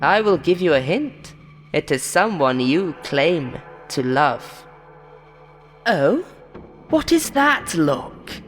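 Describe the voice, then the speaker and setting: A woman voicing a monstrous game show host in a horror story, falsely friendly and hateful, with a taunting, showy, drawn-out delivery. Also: low voice, sing-song, big-room sound, soft background music, exaggerated voice. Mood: mock cheer.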